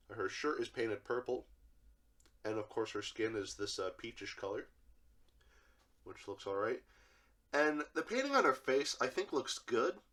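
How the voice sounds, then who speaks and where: A man's voice: mid-range voice, a bit bright. A young man with a congested, stuffy voice from allergies, casually reviewing an action figure and rambling with lots of fillers. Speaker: toy reviewer, channel host.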